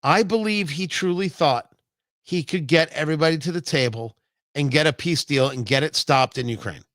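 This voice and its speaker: An American man hosting a talk show, giving an opinionated political monologue in an emphatic way, repeating phrases deliberately for effect. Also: a little high, fairly bright tone, no echo, studio quality.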